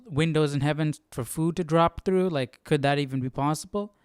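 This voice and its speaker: A man reading aloud and commenting casually, thinking out loud in informal, slangy words. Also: a little high, normal speed, clear, resonant tone, dry recording, studio quality. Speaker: host of a live Bible study stream.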